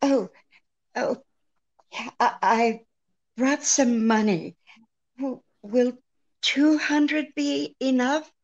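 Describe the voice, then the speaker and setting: An actress playing an anxious, pleading, genteel female client in an old-time radio drama, earnest and halting. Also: high voice, fairly even intonation, exaggerated voice. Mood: grateful, nervous. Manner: hesitant, halting, breathlessly earnest. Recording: dull lo-fi radio-play recording.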